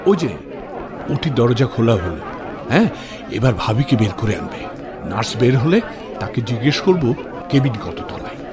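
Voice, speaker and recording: A man's voice: mid-range voice, big pitch swings, moderate pace, long pauses, a crowd in the background, natural voice. An actor playing a considerate, practical male relative who talks politely and reassuringly in a Bengali radio drama. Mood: practical, steady.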